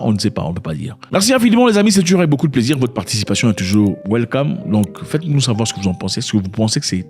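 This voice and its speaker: A man's voice: medium pitch, a little mellow. A man, not a native French speaker, casually explaining politics to viewers, often turning to ask if they follow him. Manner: repeats and restarts words, addresses viewers directly. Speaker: political commentator.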